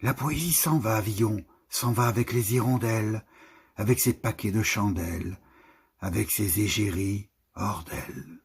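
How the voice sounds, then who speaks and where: A man reciting poetry in deliberate, measured short phrases with pauses between them. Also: lowish voice, creaky, slightly rough. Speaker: poetry reader.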